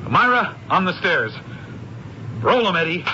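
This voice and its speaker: An actor playing a brisk, bossy male film director, barking quick orders in a 1970s radio drama on a dull, lo-fi recording. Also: high voice, sing-song, very noisy. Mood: commanding.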